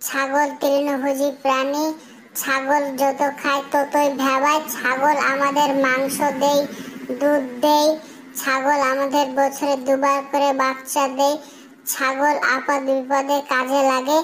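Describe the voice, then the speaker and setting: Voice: high-pitched, monotone, a little slow, fairly bright tone, a little hiss or hum, rough recording. A performer voicing an earnest cartoon schoolboy who speaks like a pupil reciting memorized lessons. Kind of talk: cartoon comedy dialogue.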